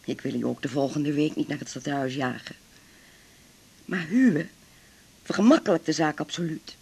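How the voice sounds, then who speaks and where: A male legal adviser with a husky, breathy voice. He is formal, measured and discreetly pragmatic, in a naturalistic radio play. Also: on the high side. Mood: advising, reassuring. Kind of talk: naturalistic 1980s radio drama acting.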